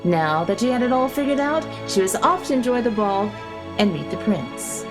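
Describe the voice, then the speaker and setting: Expressive woman narrator over music. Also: fairly high pitch, normal speed. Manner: storybook-like, explanatory delivery with rhetorical questions. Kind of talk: scripted storytelling narration for an educational video.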